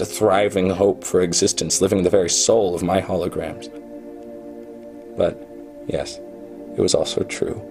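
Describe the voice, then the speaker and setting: A man's voice: sits low, vocal fry. An actor playing a proud, obsessive male programmer genius in a radio play, with a brooding, self-important manner. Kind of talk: radio play acting with confessional narration.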